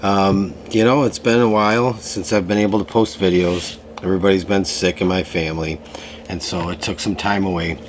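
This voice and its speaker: A man giving a casual tarot reading to camera, slightly hoarse from a lingering cough, talking in a loose way with many fillers. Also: low voice, normal speed, a little hiss or hum. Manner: relaxed, loose, full of fillers and restarts. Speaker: tarot reader. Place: room at home.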